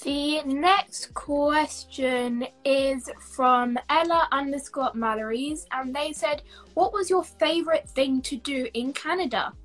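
A woman's voice: high voice, slow, fairly bright tone, soft background music, poor audio. A young woman hosting a casual video call interview, reading out viewer questions in a bubbly, giggly way. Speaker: host. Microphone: laptop webcam on a video call.

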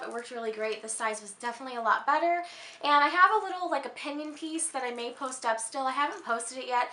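An American woman in her thirties chatting casually in a vlog, gushing a little, with a slightly hoarse voice as if losing it to a cold. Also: high voice, bright, forceful tone. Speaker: beauty and handbag vlogger. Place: small furnished room at home. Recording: roomy indoor echo.

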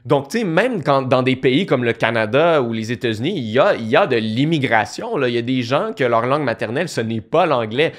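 A young man with a Québécois French accent, explaining in a casual talking-head video from a small home studio, lively and gesturing, restarting phrases as he thinks. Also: medium pitch, brisk, hardly any pauses. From Quebec. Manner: animated, gestures a lot, thinks aloud with restarts. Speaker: online course creator. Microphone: studio mic on boom arm beside him.

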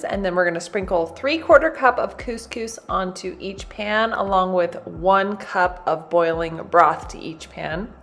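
A woman in her thirties, a cooking channel host, chatting brightly through a recipe in a home kitchen. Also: on the low side. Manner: bubbly, chatty, addresses viewers as "you guys". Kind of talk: recipe demonstration, voice-over and to camera.

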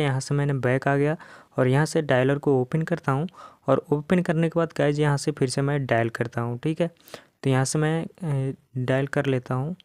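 An Indian man hosts a casual how-to video for phone users. His pitch sits in the middle of the male range, and the sound is dry and close. He speaks straight to viewers, instructing them step by step. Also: darkish tone, clear, resonant tone, dry recording. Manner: addresses viewers directly, instructional. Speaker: tech tutorial host.

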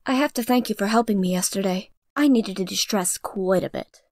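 A woman voicing a stern, composed guild mistress creature in a comic dub, speaking formally and with measured authority. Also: mid-range voice, a little mellow, no echo, close to an everyday voice. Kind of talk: fan comic dub voice acting.

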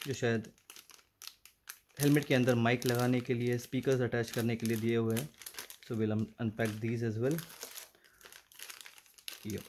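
A man's voice: medium pitch, a little mellow, slight background noise. A man with an Indian accent giving a casual hands-on walkthrough as a vlogger, switching between two languages and thinking aloud. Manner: mixes two languages, thinking aloud while handling items. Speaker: vlogger presenting a product. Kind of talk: casual unboxing and installation walkthrough.

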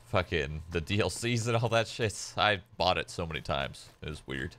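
Deep-voiced young man, laid-back streamer. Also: animated, moderate pace, a bit bright. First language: Polish. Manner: laid-back rambling with many "like" fillers. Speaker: game streamer. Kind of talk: casual chat while gaming.

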